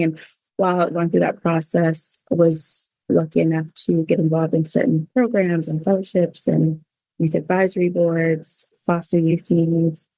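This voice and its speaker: A woman from Cameroon who moved to the United States, telling her own story as an interview guest in a reflective, informal, pausing way. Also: lowish voice, creaky, dark tone, no room sound, low-quality recording. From Cameroon, then the United States. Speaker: interview guest, cookbook author. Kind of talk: personal storytelling in a conversational interview.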